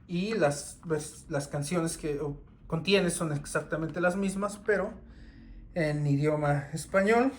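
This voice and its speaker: A man, talking casually and unhurriedly through his CD collection, with many pauses and restarts. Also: on the high side, a little mellow, a little hiss or hum. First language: Spanish. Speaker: record collector.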